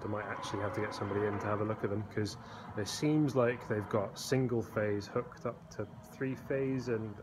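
Rambling man on a noisy phone video. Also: fairly low pitch. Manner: rambling and relaxed, many fillers and restarts. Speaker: blacksmith vlogger. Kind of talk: casual phone video walkthrough. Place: indoor workshop with concrete walls. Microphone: phone held at arm's length. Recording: noisy workshop phone recording.

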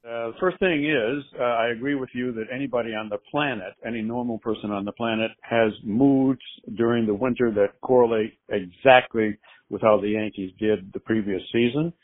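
A man leaving a fan voicemail by phone, phrasing things politely and deliberately. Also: mid-range voice, fairly even intonation, narrow, tinny audio.

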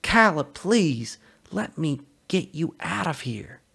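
A man's voice: on the high side, very animated, slow pace, vocal fry, fairly bright tone, husky, pitched above the performer's own voice, heavily acted. A man voicing a desperate fugitive who pleads with raised, strained urgency. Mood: pleading.

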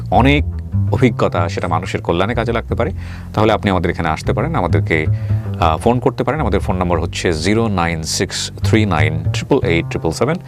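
A man with a Bangladeshi accent hosting a life-story interview show, speaking in a formal, explanatory way. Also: sits low. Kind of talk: spoken show introduction and interviewing. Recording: loud background music under the voice. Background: music.

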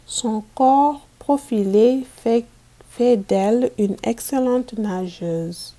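A woman reading a picture book aloud to children as a teacher, in a deliberate manner made for learners. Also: a little high, warm tone. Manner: deliberate, repeats sentences for learners.

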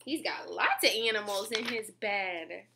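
A woman in her twenties reading a picture book aloud at home, expressively and clearly phrased for young children. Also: mid-range voice, expressive, measured pace, noisy background. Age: 20s. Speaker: children's story reader. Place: small room at home, indoors.

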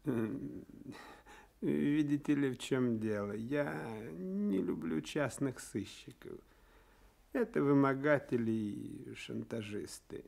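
An actor playing a menacing, condescending businessman in a radio play, speaking with deliberate hesitant hums. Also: medium pitch, vocal fry, a little mellow. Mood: haughty, threatening. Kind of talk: Soviet-style radio play acting.

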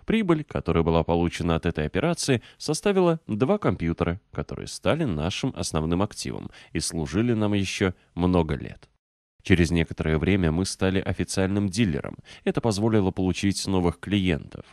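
A man with a low, deep voice reads an audiobook from a script. His delivery is measured and clearly articulated. The sound is dry, with very little room in it. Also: a little mellow, dry recording. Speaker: audiobook narrator.

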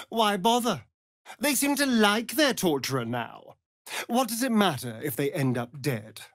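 Casting: sly, vain vampire rogue for a fantasy game. Arch drawl, unhurried, very animated pitch, dry studio sound. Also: male voice, dry recording, full character voice. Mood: bitter. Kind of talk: video game character voice lines.

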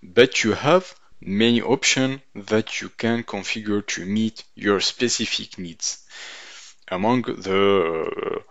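A man giving a tutorial voice-over over slides, halting now and then with ums and uhs. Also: lowish voice, fairly bright tone, dry recording. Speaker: instructor. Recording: dull lo-fi dry voice-over.

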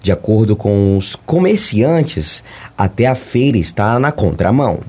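A man's voice: lowish voice, very animated, a little slow, background noise. A Brazilian man reading a scripted voice-over for a TV news report.

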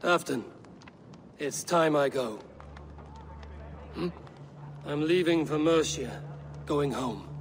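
Game role: steady Norse raider chief, slightly high voice, measured, light background ambience. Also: male voice, noisy background. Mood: calm, resolute. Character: steady, stern Viking warrior clan leader. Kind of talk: video game cutscene acting.

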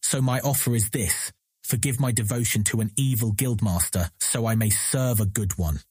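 An actor playing a captured thief, earnest, proud and eloquent, speaking formally and measuredly in a fantasy audio drama. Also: male voice, lowish voice, moderate pace, a little mellow, husky, no echo. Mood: earnest pleading.

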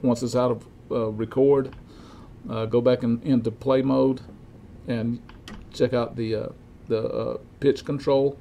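Older male, gravelly, relaxed delivery; halting, methodical how-to explainer, informal, with some background room noise. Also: medium pitch. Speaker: repair technician demonstrating equipment checks. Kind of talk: informal instructional demonstration. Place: cluttered indoor electronics workshop.

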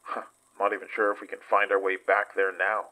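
An actor playing a weary investigator in a horror audio drama, husky and halting as he recounts danger. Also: fairly low pitch, monotone, pushed and bright, rough recording. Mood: weary, guarded.

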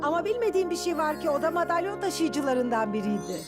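A kindly cartoon grandmother with a high voice. She tells things expressively, her pitch swinging widely, with music playing clearly behind her. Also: fast talker. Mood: storytelling. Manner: expressive, storytelling. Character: kindly grandmother, storytelling keeper of a magic locket. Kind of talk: animated children's cartoon dub.

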